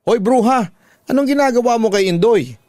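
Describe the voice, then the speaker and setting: A man's voice: extremely high pitch, animated, normal speed, a little mellow, dry recording, studio quality, soft background music, voice pushed up. A man voicing a fierce, protective young woman in a radio drama, scolding in an indignant, emphatic way. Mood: furious, commanding. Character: fierce, protective young woman confronting her father's mistress. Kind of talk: Tagalog radio drama acting.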